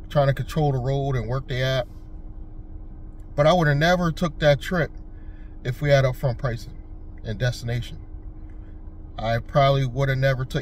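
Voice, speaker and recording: A man's voice: medium pitch, a little hiss or hum. Rambling man driver chatting casually. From Connecticut. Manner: rambling, conversational, with frequent fillers and restarts. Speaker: rideshare driver and channel host. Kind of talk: casual vlog talk.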